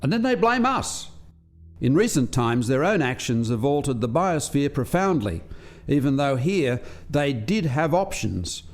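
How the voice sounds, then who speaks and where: An actor playing an articulate alien scientist in a radio play, explaining things precisely as if lecturing a visitor. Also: male voice, mid-range voice, very animated, a little slow. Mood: explanatory.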